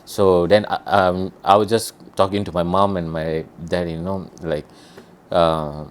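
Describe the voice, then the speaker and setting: A man's voice: low voice, little pitch movement, measured pace. A man from Malaysia telling travel stories in a casual chat, rambling with many restarts.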